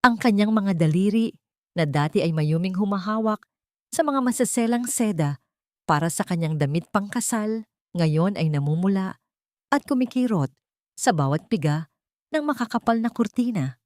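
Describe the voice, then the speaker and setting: A woman's voice: mid-range voice, animated, moderate pace, pauses often, a little mellow, no room sound, studio quality. A woman reading an emotional story as a dramatic scripted narration.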